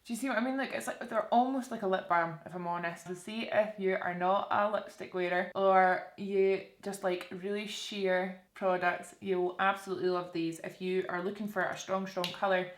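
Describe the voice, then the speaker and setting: A woman's voice: mid-range voice, fairly even intonation, moderate pace, few pauses. A young woman with a Scottish accent chatting casually through a makeup tutorial, often putting questions to her viewers. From Scotland. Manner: informal, conversational, addresses viewers with questions. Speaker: beauty vlogger presenting a makeup tutorial. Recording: roomy, echoey indoor sound.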